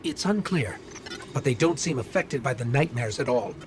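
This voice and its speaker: Lively, earnest game companion played by a man. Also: medium pitch, moderate pace, background noise, lightly acted. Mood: earnest, urgent. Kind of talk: scripted game dialogue.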